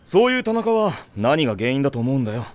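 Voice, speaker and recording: A man playing a dependable, kindly but strict high-school team captain in an anime audio drama, frank with his juniors. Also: very animated, fast, natural voice. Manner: frank, senior talking to juniors.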